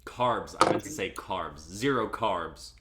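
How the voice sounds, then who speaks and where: A man's voice: low-pitched, lively intonation, unhurried, very noisy. A man in his twenties hosting a casual group livestream, joking loosely and swearing freely. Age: 20s. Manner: profane, loose and jokey. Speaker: livestream host.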